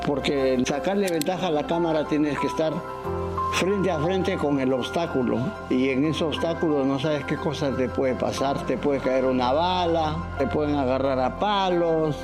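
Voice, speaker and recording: A man in his sixties with a Peruvian accent gives personal testimony, telling his story plainly in short phrases. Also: on the high side. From Peru. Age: 60s. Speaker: interviewee, veteran TV news cameraman. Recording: music bed behind the voice.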